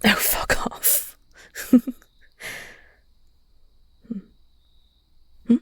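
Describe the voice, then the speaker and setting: A woman playing a flirty, teasing vampire nemesis who secretly cares, in an intimate audio roleplay, speaking softly and half-whispered with wry, elegant phrasing. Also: no room sound, clean studio sound. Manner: breathy, soft, slow, half-whispered delivery.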